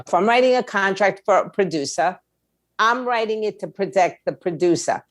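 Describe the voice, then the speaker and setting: A woman's voice: medium pitch, no room sound, studio quality. A woman speaking as a guest expert in an interview, explaining things in a plain-spoken, emphatic, conversational way. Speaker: guest, music attorney and indie label owner.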